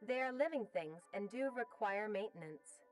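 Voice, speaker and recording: A woman playing a calm, informative narrator of a pet-care guide, speaking in a measured, instructional way. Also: medium pitch, distant mic, faint music behind, close to an everyday voice.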